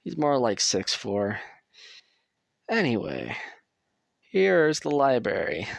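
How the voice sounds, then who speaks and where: A man performs a young asylum patient in an audio drama, nervous but amiable. He speaks slowly and hesitantly, as if sharing secrets. His voice has some creak and moves freely up and down. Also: medium pitch, very animated, no room sound, full character voice. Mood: relieved, confiding. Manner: hesitant, confiding, jittery. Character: nervous, friendly young male asylum patient, quietly defiant. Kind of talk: audio roleplay acting.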